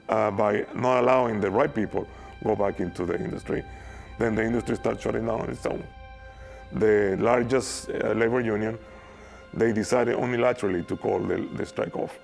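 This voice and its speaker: A man in his fifties from Venezuela, with a Venezuelan Spanish accent, speaking hesitantly with frequent pauses and repeated words in a documentary interview. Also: lowish voice, creaky. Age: 50s. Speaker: former oil worker, interviewee. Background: soft guitar music.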